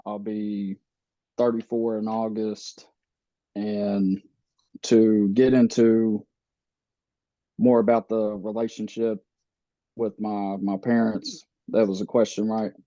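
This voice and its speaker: A man in his thirties, born in the Philippines and raised in the US, telling his life story slowly with many pauses and fillers. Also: on the low side, level tone, mellow, dry recording, lo-fi sound. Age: 30s. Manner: slow, halting, many fillers and restarts. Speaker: podcast guest.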